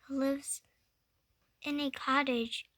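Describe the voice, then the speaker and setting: A woman's voice: sits high, flat delivery, slow, bright, forceful tone, a little hiss or hum, full character voice. A young girl playing an innocent, earnest little storybook girl with a wide-eyed, exclaiming manner. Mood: matter-of-fact. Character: innocent little girl visiting her grandmother.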